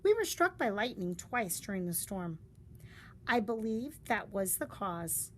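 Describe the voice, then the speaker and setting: A woman reading a story aloud in a deliberate, dramatic storytelling style. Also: medium pitch, very animated. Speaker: storybook reader. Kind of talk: expressive read-aloud of a story. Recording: faint background noise.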